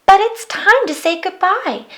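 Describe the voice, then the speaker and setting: A woman's voice: very high-pitched, lively intonation, measured pace, sharp tone, pitched above the performer's own voice, heavily acted. A woman voicing a kind, eager, earnest little girl in a children's storybook. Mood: fond farewell. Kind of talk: children's storybook read-aloud.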